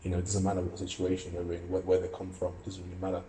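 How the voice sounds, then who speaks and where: Low, flat-voiced young man, reflective. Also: rapid-fire, echoey room, a little hiss or hum. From Russia. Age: young adult. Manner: earnest, reflective, many fillers and restarts. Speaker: amateur boxer and university student. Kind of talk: interview answers.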